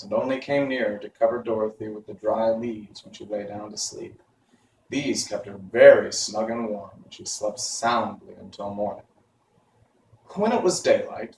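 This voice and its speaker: A man narrates a children's story at a medium pitch. The recording is roomy and slightly distant, with faint background noise. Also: a little mellow. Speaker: story reader. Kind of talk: reading a children's novel aloud.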